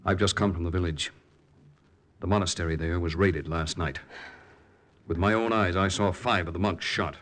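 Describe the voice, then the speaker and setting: An actor playing an earnest young wartime underground lieutenant in 1940s radio drama style, measured and grave. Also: male voice, sits low, steady tone, a bit bright. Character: earnest male wartime underground lieutenant narrating his mission. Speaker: actor and first-person narrator. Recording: vintage 1940s radio recording.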